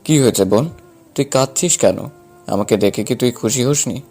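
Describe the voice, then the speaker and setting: A man's voice: mid-range voice, normal speed, a little mellow, close to an everyday voice. A man playing a cheeky but caring young man, the younger son of the family, in an audio story. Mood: gentle, reassuring. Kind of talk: Bengali audio story acting.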